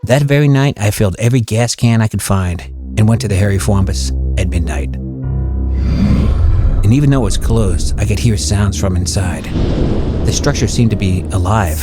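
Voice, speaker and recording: Casting: male actor as haunted, bitter convict, low voice, brooding first-person horror narration over a music bed. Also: lively intonation, moderate pace, close to an everyday voice. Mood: haunted, ominous.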